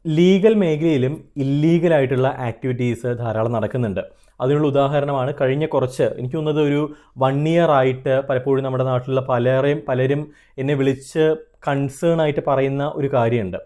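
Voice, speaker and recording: A man's voice: medium pitch, moderate pace, dark tone. A man with a Malayali accent explaining and advising viewers directly in an earnest, conversational way, mixing in English terms. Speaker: trademark consultant and video host. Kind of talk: explanatory advice straight to viewers.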